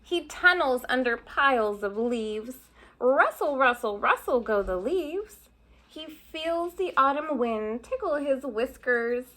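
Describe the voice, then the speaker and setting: Woman teacher, storybook read-aloud for kids, slightly high voice, chatty asides. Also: animated, slow pace, sharp tone. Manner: explains pictures with asides to young listeners.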